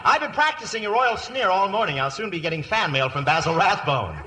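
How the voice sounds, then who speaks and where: Silly aristocrat, high, wildly animated, affected and jolly, radio comedy. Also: male voice, brisk. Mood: excited. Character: excitable bogus English earl, affable and silly. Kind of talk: old-time radio comedy acting. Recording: very noisy old radio recording.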